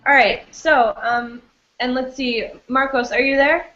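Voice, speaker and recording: A woman runs an English lesson online, speaking in a friendly way with lots of ums and you-knows. Her voice sits a little high. The sound is roomy and dull, like a lo-fi call. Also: steady tone, measured pace, sharp tone. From United States. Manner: friendly, many fillers like um and you know. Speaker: English teacher. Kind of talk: online class teaching. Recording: echoey, dull lo-fi audio.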